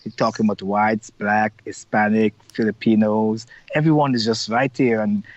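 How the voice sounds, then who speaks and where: A man's voice: lowish voice, steady tone, normal speed, no echo. A middle-aged man from Guyana with a Guyanese accent, talking as an interview guest in an upbeat, rambling way with many fillers. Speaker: carnival organizer, interview guest. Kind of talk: casual interview over video call. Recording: dull lo-fi video-call audio, dry.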